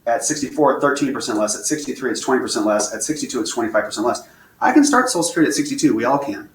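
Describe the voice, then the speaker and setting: Fast-talking man explaining with roomy echo. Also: medium pitch, a bit bright. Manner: plain, repetitive step-by-step explaining. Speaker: financial advisor presenting a webinar. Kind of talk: explaining slides, answering viewer questions. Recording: roomy echo, faint room noise.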